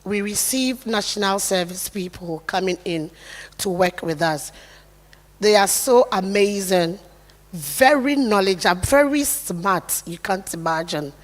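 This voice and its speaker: A woman giving a formal speech at an event, in a low, soft voice, earnest and declarative. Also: lively intonation, measured pace. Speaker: corporate guest speaker. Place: large event hall. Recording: reverberant hall, long echo.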